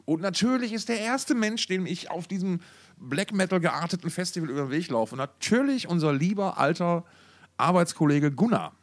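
A man's voice: high voice, expressive, normal speed. A man co-hosting a casual podcast, telling anecdotes in a rambling, wry way with mock-formal asides. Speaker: podcast co-host.

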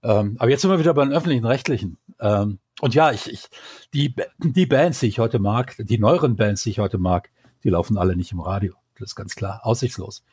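A man with a low, husky voice lays out his views at length as a podcast co-host. His voice is close and dry, with no room around it. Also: brisk, a little mellow, no room sound. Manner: opinionated, argues at length. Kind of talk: casual podcast talk.

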